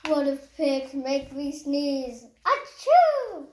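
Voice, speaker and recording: A woman voicing an anxious, dramatic male storybook elephant who talks in halting, sneezy bursts. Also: high voice, very animated, darkish tone, heavily acted. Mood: dramatic worry. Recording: roomy echo, faint background noise.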